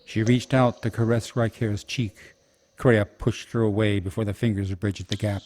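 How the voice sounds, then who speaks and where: A man's voice: lowish voice, steady tone, moderate pace, background noise. A man giving scripted, dramatic narration as the storyteller of a fantasy radio play.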